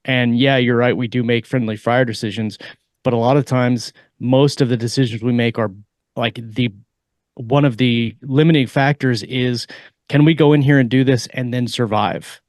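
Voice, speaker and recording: Mid-pitched man, dry close podcast sound. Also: fairly even intonation, normal speed, no room sound. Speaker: podcast co-host. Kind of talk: casual panel discussion.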